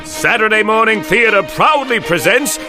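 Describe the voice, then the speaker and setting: Male announcer, vintage radio-serial style, very high for a man, hugely animated dramatic narration, prominent music bed. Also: moderate pace. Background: loud music.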